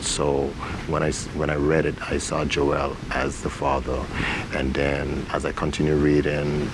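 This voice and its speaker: Man, extremely low voice, flat intonation, thoughtful halting interview answers, noisy recording. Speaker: stage play director and actor. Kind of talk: interview answers about a community stage play. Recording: noisy recording with rumbling ambience.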